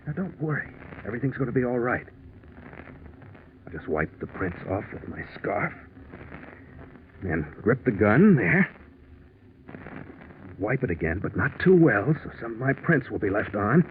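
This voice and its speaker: Scheming, lively-voiced 1940s radio lover. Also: male voice, lowish voice, normal speed, long pauses. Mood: reassuring. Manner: smooth, insistent, persuasive. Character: scheming, reassuring male lover plotting an insurance fraud. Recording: muffled, noisy 1940s radio recording.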